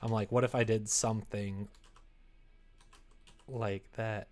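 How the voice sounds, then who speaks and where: A man's voice: fairly low pitch, flat delivery, slow pace. A man chatting casually on a live stream, rambling and self-amused as he thinks aloud. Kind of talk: casual chat on a live creative-writing stream.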